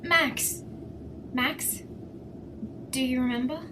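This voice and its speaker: An actress plays a gentle, emotional woman in an audio drama. Her high voice moves very slowly, full of longing, over noticeable background noise. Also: steady tone. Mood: tender, moved. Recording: noisy ambience behind the voice.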